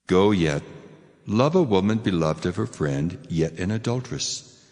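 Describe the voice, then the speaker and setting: A man's voice: low voice, lively intonation, measured pace, darkish tone. A man voicing the Lord God as a solemn, commanding divine figure in a dramatized scripture reading. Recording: long cavernous reverb.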